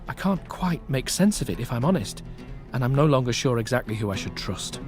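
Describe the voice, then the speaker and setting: A man's voice: medium pitch, very animated, a little fast, close to an everyday voice. An actor in a radio drama playing a polite, wry Englishman with an English accent and a courteous, understated manner. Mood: confiding, uneasy. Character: polite, wry Englishman caught up in wartime espionage. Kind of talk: radio drama acting with first-person narration. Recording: music, ship and outdoor ambience behind.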